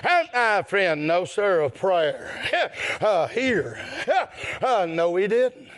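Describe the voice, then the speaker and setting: A man's voice: high-pitched, lively intonation, takes it slowly. A man with a Southern US accent preaching a fervent evangelical sermon in short chanted phrases, with repeated refrains and gasped breaths.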